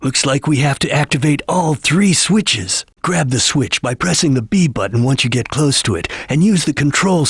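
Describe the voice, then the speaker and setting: A man voicing a serious, disciplined chameleon ninja in a video game, speaking in a husky, clipped and measured way as he gives instructions. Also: mid-range voice, no room sound, studio quality. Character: serious, disciplined male chameleon ninja detective, calm and watchful. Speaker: video game character giving hints.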